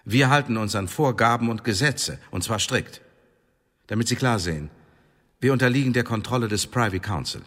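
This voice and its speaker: An actor in a German radio drama playing a cold, mocking secret service tribunal chief, officious and commanding. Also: male voice, medium pitch, expressive, moderate pace, a bit bright. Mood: stern, emphatic. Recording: echoey hall, clear background noise.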